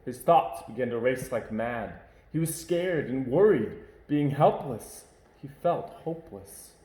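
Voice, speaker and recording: A man's voice: mid-range voice, echoey room, rough recording. Man reading a children's story aloud. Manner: expressive, varied reading. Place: home.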